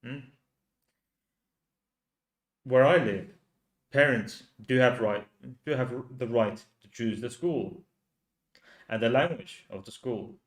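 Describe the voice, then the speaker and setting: A man from Finland with a Finnish accent, a native Finnish speaker, hosting a livestream and talking in slow, halting rhetorical questions to his viewers. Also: medium pitch, echoey room. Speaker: channel host. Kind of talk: livestream commentary reading chat.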